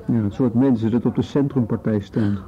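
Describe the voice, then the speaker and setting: An actor playing an awkward, smitten young policeman, hesitant and halting, in a naturalistic radio drama. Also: mid-range voice, fairly even intonation, dark tone, highs cut off, soft background music, lightly acted.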